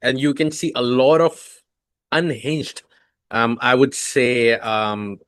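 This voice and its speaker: A man's voice: medium pitch, slow pace, fairly bright tone, no echo, clean studio sound. A man whose first language is Urdu, hosting a podcast and asking long, rambling questions full of fillers. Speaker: podcast host. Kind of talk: podcast interview.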